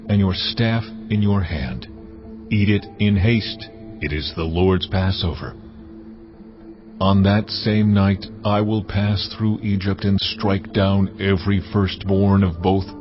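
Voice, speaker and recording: An actor voicing God as a commanding divine male figure, giving measured, authoritative decrees in a dramatized audio Bible. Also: deep voice. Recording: dull lo-fi sound with clear music.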